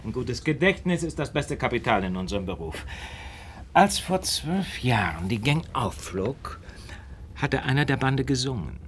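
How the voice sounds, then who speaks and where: A male actor plays a brilliant detective in a radio drama. He is precise, superior and theatrical, with very lively intonation that swings between low asides and emphatic points. Faint noise sits behind the voice. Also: normal speed, lightly acted. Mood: lecturing. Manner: articulate, lecturing, theatrical. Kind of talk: German radio play acting.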